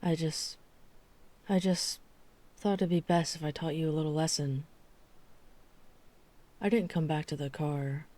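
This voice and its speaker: Low, mellow woman as a weary vampire huntress. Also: fairly even intonation, unhurried, long pauses. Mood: serious, guarded. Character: guarded, weary female vampire hunter who is herself a vampire. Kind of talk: ASMR roleplay audio drama acting.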